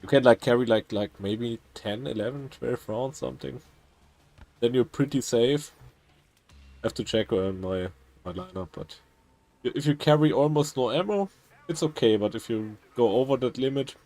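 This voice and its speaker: A man from Germany with a German accent, chatting casually while streaming a game, rambling with many halting restarts. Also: medium pitch, mellow. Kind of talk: casual gaming chat.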